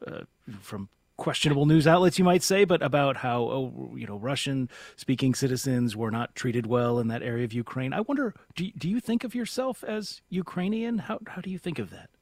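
A man hosting a conversational news interview, asking questions in a measured, tentative way. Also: mid-range voice, a little mellow, dry recording, clean studio sound. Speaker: radio interviewer.

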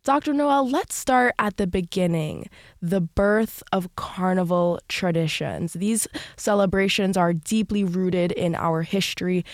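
A woman's voice: on the low side, dry recording, clean studio sound. A woman from Trinidad and Tobago with a Trinidadian accent, hosting an interview with a polished, formal presenter delivery. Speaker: interview host. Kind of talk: scripted introduction and interview questions. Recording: faint music underneath.

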